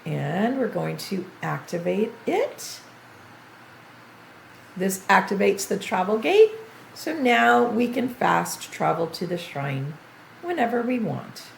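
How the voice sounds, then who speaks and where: An older woman streaming a video game tutorial, chatty and thinking aloud as she plays, her voice going a bit hoarse. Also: medium pitch, sing-song, takes it slowly, echoey room, slight background noise, rough recording. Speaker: gaming streamer. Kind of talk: game tutorial livestream commentary.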